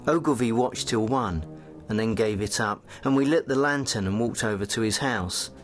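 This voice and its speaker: A man's voice: lowish voice, close to an everyday voice. Man acting a solemn storyteller over music. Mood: solemn, reflective. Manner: measured, solemn, literary. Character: reflective male narrator recalling an alien invasion. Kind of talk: dramatized scripted narration.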